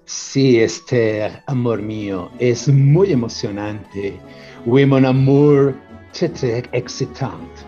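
A man's voice: medium pitch, slow pace, dark tone, lo-fi sound, soft background music, lightly acted. A man acting a tender, courtly lover in a radio soap opera. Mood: amorous.

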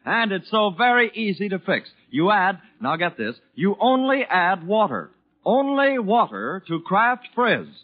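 High-pitched vintage male radio announcer. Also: sing-song. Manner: salesmanlike, deliberate emphasis. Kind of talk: scripted 1940s radio announcing and ad reading. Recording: muffled 1940s radio broadcast.

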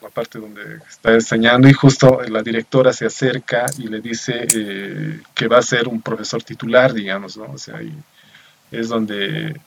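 A man's voice: mid-range voice, steady tone, moderate pace, creaky. Rambling Bolivian man chatting about a film. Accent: Bolivian Spanish. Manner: rambling, many fillers and tag questions. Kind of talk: casual film review chat.

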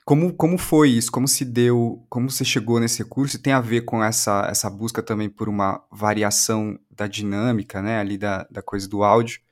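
A man's voice: fairly low pitch, steady tone, a little fast, hardly any pauses. A man with a Brazilian Portuguese accent interviewing in a conversational, analytical way, building his questions step by step. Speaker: interviewer, podcast host.

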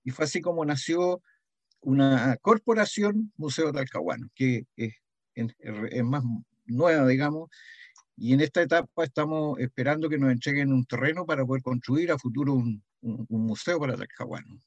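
An older man with a Chilean accent reminisces in a conversational interview, pausing and repeating words, often adding a short questioning "mm". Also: mid-range voice, no room sound, muffled recording. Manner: hesitant, frequent "¿m?" tags and repeated words. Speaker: interviewee, heritage association founding member.

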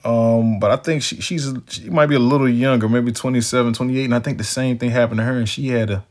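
A man's voice: mid-range voice, fairly even intonation, quick pace, darkish tone. A man hosting a podcast, answering his guest in a hesitant, rambling way with many restarts. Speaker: podcast host. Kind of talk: casual conversational reply.

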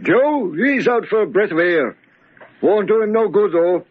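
An actor playing a blunt, gloomy old lodger with husky, folksy rustic speech in an old-time radio drama. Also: male voice, high voice, lively intonation, unhurried. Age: older. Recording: muffled old radio recording.

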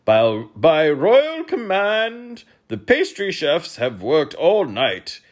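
A man's voice: on the high side, wildly swooping pitch, slow pace, pitched above the performer's own voice, heavily acted. A man voicing a pompous, irritable storybook king with a commanding, snappish manner. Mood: grand, disapproving.

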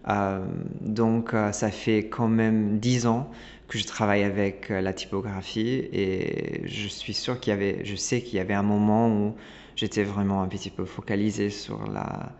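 A man's voice: lowish voice, little pitch movement, a little slow, darkish tone. A man in his thirties who grew up in Italy, speaking French, talking as a podcast guest with lots of restarts and fillers. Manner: hesitant, many restarts and fillers. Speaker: guest designer. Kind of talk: casual interview talk. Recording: dull lo-fi sound with long reverb.

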